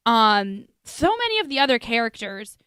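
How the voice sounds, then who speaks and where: A woman's voice: fairly high pitch, very animated, normal speed, a bit bright, smooth, no echo. A young adult woman co-hosting a casual podcast, chatty and playfully teasing. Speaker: podcast co-host.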